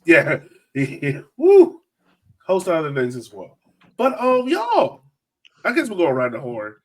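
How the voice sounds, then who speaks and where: A man's voice: on the high side, wildly swooping pitch, takes it slowly. A man hosting a casual group chat, joking and exclaiming as he banters. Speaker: podcast host.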